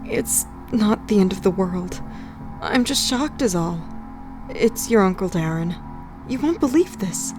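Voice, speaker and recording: An actress playing a shaken, distressed mother in a comic dub, speaking haltingly. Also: medium pitch, animated, music in the background, close to an everyday voice. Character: shaken mother breaking bad news. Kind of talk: acted comic dub dialogue.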